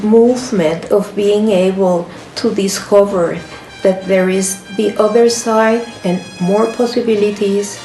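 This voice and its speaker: Woman author, earnest book presentation, unhurried delivery with gravelly fry, music underneath. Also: mid-range voice, dark tone. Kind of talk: reflective book presentation with short readings. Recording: roomy echo, music behind.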